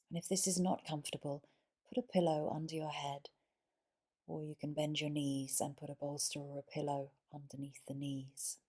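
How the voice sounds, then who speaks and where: A woman leading a guided yoga nidra meditation in a soothing, measured way, pausing between short phrases. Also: low voice, a little mellow.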